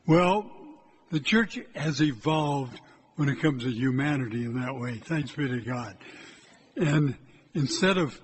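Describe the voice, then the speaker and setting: An older man, a priest, giving a loud, plain-spoken exhortation from the pulpit of a church. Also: animated, unhurried, a little hiss or hum. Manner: loud, hard time keeping his voice low. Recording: echoey church from a distance.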